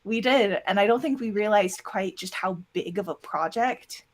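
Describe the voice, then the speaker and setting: A woman's voice: mid-range voice, moderate pace. A woman chatting casually as an interview guest about creative production work, candid and informal, with "like" sprinkled through. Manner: candid, informal, heavy use of "like". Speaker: interview guest, audio drama producer and voice actor.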